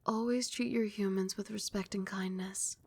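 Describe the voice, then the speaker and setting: A woman playing a regal, possessive yet tender vampire queen in an ASMR roleplay, speaking softly and soothingly and often slipping into breathy whispers. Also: medium pitch, steady tone, normal speed. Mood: adoring, teasing.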